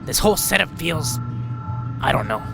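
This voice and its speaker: A man playing a grumpy, sardonic veteran robot medic in an audio drama, with a gravelly voice and a dry, blunt manner. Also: a little high, big pitch swings, moderate pace, music in the background. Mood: dry, guarded. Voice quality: gravelly, creaky.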